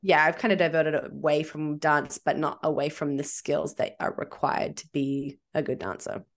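A woman in her thirties with a New Zealand accent speaks in a low register. She talks candidly and reflectively, often restarting her sentences. She is recorded on a studio mic from a small room during a video call. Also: fairly even intonation, moderate pace. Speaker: guest, CrossFit coach and athlete. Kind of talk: casual interview talk. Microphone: studio mic with headphones.

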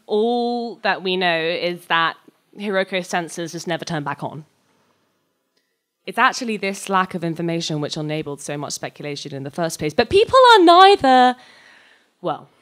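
A young woman with a slightly low voice performs a short story live at a stage microphone. Her delivery is scripted and dramatic, moving between measured narration and more conversational character lines. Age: young adult. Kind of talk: live dramatic reading of scripted science fiction, alternating narration and dialogue. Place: on stage at a live reading event, indoors. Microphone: handheld-type microphone on a stand, close.

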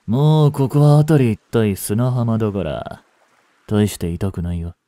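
A man playing a young delinquent in a voice drama, listless and blunt, with rough slangy talk and a caring streak. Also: on the low side, expressive, measured pace, dark tone, dry recording. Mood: teasing, blunt. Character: gruff, languid young male delinquent, secretly caring. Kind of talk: Japanese voice drama for female listeners.

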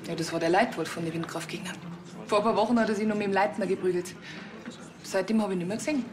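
Actress as a quick-talking Bavarian landlady. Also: medium pitch, fairly even intonation, crowd noise, natural voice. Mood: exasperated. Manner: brisk, colloquial. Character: exasperated female village pub landlady.

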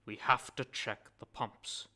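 A male narrator voicing a calm, firm man who talks low and quietly, keeping control. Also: takes it slowly.

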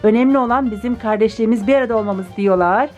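Fast-talking woman, chatty video host. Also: on the high side, darkish tone, faint music behind. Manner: chatty, addresses viewers as friends. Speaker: toy unboxing video host, a mother with her child. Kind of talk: casual chat to viewers while unboxing toys.

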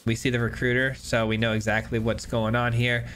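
A man's voice: mid-range voice, level tone, a little fast, a bit bright, a little hiss or hum. A young man giving upbeat, chatty commentary over a digital card game, thinking aloud with frequent restarts. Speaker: gameplay commentator. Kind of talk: casual gameplay commentary.

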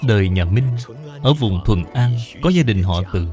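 A man narrates a moral tale in scripted storytelling style. His pitch moves in a lively way, and faint music plays behind him. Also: normal speed, reverberant, big-room sound.

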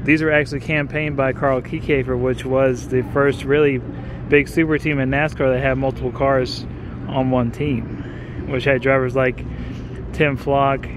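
A man's voice: mid-range voice, little pitch movement, unhurried. A young man giving informal, enthusiastic commentary while walking around cars at an outdoor auction lot, often saying "like". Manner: informal, enthusiastic, many "like" fillers. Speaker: car show videographer. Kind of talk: casual walkaround commentary. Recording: outdoor noise behind voice.

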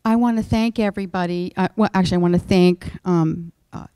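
A woman with a Massachusetts accent speaks at a lecture panel table. Her voice is mellow and unhurried in tone, broken by frequent ums and restarts. The sound is dry and close. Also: lowish voice, clean tone, no echo. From Worcester, Massachusetts. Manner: halting, many ums and restarts. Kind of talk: personal account to a lecture audience.

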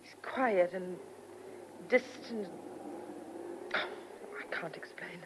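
A woman's voice: on the low side, extremely slow, a bit bright, slight background noise, low-quality recording. A woman playing an anxious young farm woman in a radio drama, earnest and urgent. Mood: frightened.

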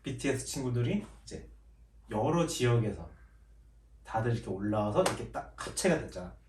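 A man hosting a reaction video in a relaxed, agreeable, casual chat. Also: medium pitch, brisk, noisy background. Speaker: reaction channel co-host. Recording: roomy, distant mic.

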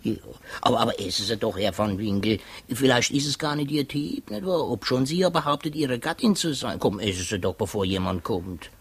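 An actor playing a simple, kindly, gullible prison warder in a 1950s radio drama, stammering eagerly and marvelling. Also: male voice, medium pitch, brisk, hardly any pauses, breathy edge. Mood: coaxing, fussy.

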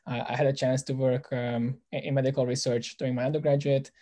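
Man from Hungary on a panel call, mellow tone, measured. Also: mid-range voice, flat delivery. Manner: measured, slightly hesitant. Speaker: alumnus panelist. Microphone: video call.

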